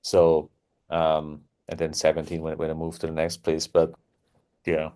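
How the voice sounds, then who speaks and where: A man's voice: a bass voice, completely flat delivery, measured pace, dark tone. A man from Austria, a guitarist guest, telling his story in a casual interview with many restarts and phrasing. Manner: hesitant, many restarts, non-native phrasing.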